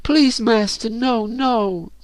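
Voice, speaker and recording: A man's voice: very high-pitched, takes it slowly, clean tone, no room sound, voice pushed up. A man voicing a young slave woman in an audiobook, pleading and wailing in tearful, theatrical terror.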